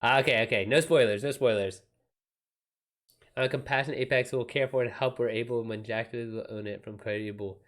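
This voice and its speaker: A man's voice: medium pitch, fairly even intonation, a little fast, a bit bright. A man chatting casually as a live streamer, in a slangy, profane and emphatic way. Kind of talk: casual livestream chat.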